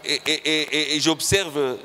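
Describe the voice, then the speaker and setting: A man's voice: on the high side, steady tone, unhurried, sharp tone, slight background noise. A man with a Congolese accent preaching as a church elder, teaching emphatically in short, repeated phrases that leave room for an interpreter. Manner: emphatic, repetitive, didactic. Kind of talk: sermon delivered phrase by phrase with an interpreter.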